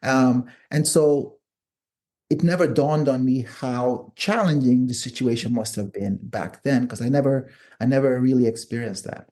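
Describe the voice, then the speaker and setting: Man, middle-aged, relaxed warm timbre, thoughtful conversational guest who restarts and rewords his points. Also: medium pitch, measured pace. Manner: thoughtful, repeats and rephrases phrases. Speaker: podcast guest, author and psychiatrist. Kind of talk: reflective interview conversation. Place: remote online call.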